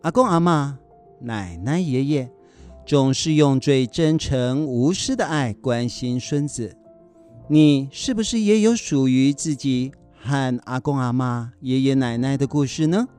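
Male presenter for family radio drama intros: mid-pitched, slow, expressive scripted delivery. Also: clear, resonant tone, faint music behind.

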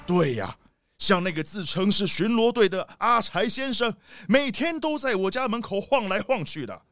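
A man's voice: high-pitched, lively intonation, moderate pace, a bit bright, soft background music. A man playing a rich, boastful, haughty leopard boss in a children's audio drama. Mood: puzzled, grumbling.

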